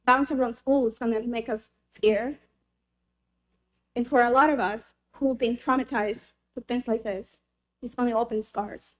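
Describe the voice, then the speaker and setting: Woman from el salvador, testifying, heartfelt and earnest, kinda high voice. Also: poor audio. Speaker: member of the public testifying. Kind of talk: personal testimony at a legislative hearing.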